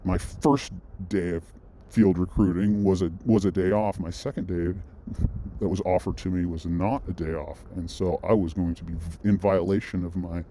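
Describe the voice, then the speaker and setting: A man's voice: low voice, fairly even intonation, moderate pace, dark tone, slight background noise. A man vlogging, telling a personal story in a loose, rambling way, full of restarts and side notes. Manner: rambling, with frequent restarts and asides. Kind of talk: casual storytelling monologue.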